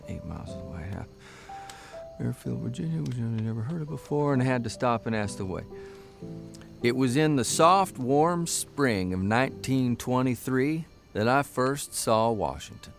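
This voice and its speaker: A man's voice: mid-range voice, lively intonation, moderate pace, vocal fry, faint music behind. A man playing an affable but exasperated newspaper columnist husband in an audio drama, with folksy, old-fashioned phrasing. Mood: wistful, reflective.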